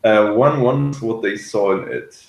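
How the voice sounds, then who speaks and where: A man in casual review chat as a co-host, speaking in a hesitant, halting way. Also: fairly low pitch, echoey room, low-quality recording. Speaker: podcast co-host reviewing a TV series. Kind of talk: casual review chat, partly reading notes aloud.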